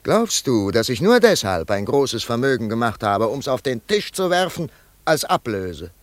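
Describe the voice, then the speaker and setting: An actor playing a wealthy, grieving older father in a German radio play, brooding and yearning in a halting monologue. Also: medium pitch, sing-song, no room sound, natural voice. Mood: defiant, aggrieved. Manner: slow, halting, brooding delivery with sudden swells.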